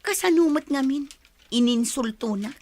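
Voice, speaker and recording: Actress as fed-up village wife, Ilocano Filipino accent, high-pitched, swooping, emphatic radio drama scolding. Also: female voice, expressive, normal speed, darkish tone. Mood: weary, complaining. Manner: nagging, emphatic. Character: exasperated hardworking wife and mother scolding her lazy husband.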